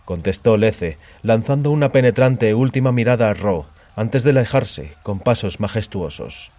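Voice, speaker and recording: A man narrating a dramatized story as scripted fiction, in a grave, deliberate storytelling manner. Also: fairly low pitch, lively intonation, dark tone, no echo. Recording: music behind the voice.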